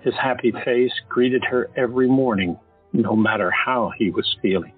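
A man narrating an audio drama in a steady, measured storytelling style. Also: mid-range voice, slightly rough. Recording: tinny phone-like audio, faint music.

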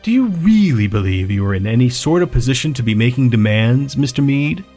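A man voicing a calm, emotionless male captor who speaks softly and formally. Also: medium pitch, soft background music, natural voice. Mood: cold. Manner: soft, emotionless, measured. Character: cold, composed male captor, quietly menacing.

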